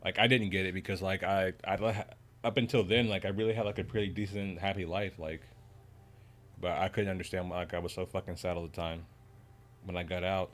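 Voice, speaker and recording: Young male streamer, low voice, relaxed rambling chat full of fillers. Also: fairly quick. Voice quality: deep voice. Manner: laid-back, rambling, lots of "man" and "you know". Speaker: game streamer. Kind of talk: casual livestream chat.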